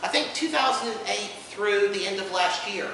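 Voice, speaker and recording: A man giving an informal panel presentation to an audience, an enthusiastic explainer who speaks haltingly with many asides. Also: sits high, lively intonation, vocal fry, bright, forceful tone. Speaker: convention panelist presenting audio drama releases. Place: indoor convention panel room. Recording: echoey room from far off, noisy.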